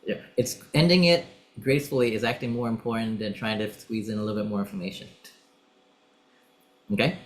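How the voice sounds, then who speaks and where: A man's voice: on the low side, steady tone, fairly quick, rough recording. A man coaching pageant contestants through a practice interview, directive and encouraging, explaining step by step. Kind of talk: coaching session.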